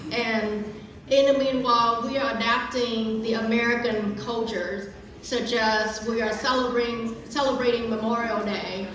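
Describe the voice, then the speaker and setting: Slow Vietnamese-accented woman in echoey hall. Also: on the high side, fairly bright tone, far from the mic, a little hiss or hum. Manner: earnest, careful, with frequent restarts. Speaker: guest speaker. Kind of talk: prepared speech at a community event. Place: large echoey event hall.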